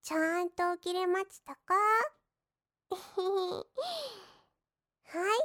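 A woman's voice: extremely high pitch, flat delivery, normal speed. A woman voicing a young maid who teases her master in sing-song baby talk with lisped endings. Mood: cooing. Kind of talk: roleplay audio drama.

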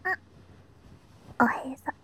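A woman voicing a teasing, doting schoolgirl little sister in a whispered ASMR roleplay, breathy and playful. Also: slight background noise. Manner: teasing, playful, slow and soft. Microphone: close microphone.

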